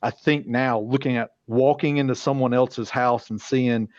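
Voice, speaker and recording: A man's voice: mid-range voice, steady tone, moderate pace, a little mellow, dry recording. Man's mid voice, dry muffled audio. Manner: thoughtful, searching for words. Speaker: podcast co-host. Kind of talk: casual chat.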